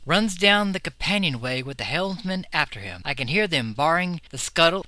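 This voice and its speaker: A male narrator reads a horror tale in a very sharp, forceful voice pitched a little high. His delivery is theatrical and suspenseful, like an intense audiobook performance. The recording is dry and close, with little room sound. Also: dry recording. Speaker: audiobook reader. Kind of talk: dramatic scripted narration of a horror story.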